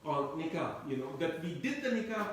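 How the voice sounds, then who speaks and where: High-voiced man lecturing in an echoey room. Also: very animated, normal speed, slight background noise. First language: Turkish. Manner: discursive, repeats and restarts phrases. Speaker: Quran teacher. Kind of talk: tafseer lecture. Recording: echoey room, mic at a distance.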